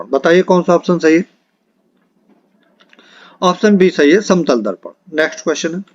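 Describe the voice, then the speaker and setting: Higher-pitched man teaching, muffled audio. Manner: instructive, directly addressing students. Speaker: exam-prep teacher. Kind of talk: reading multiple-choice quiz questions and answers aloud as a lesson.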